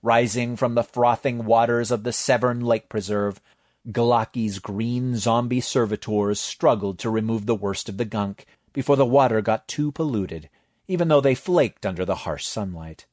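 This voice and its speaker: A man reading a horror comedy story aloud in a measured storytelling manner. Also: medium pitch, a little mellow, dry recording, studio quality. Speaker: narrator. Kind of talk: scripted story narration.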